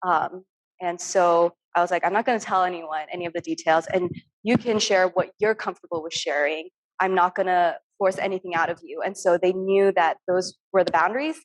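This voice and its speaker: A woman's voice: lowish voice, fairly even intonation, a little fast, poor audio. A young woman from Turkey, an artist, talking casually and earnestly about her work with frequent ums over a video call. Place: home room on a video call.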